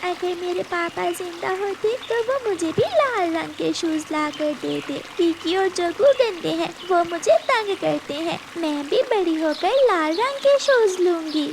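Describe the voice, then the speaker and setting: A woman's voice: very high-pitched, expressive, unhurried, few pauses, background noise, heavily acted. A woman voicing a sweet, innocent little girl bird in a childlike, earnest way for a cartoon. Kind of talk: Hindi moral-story cartoon dialogue.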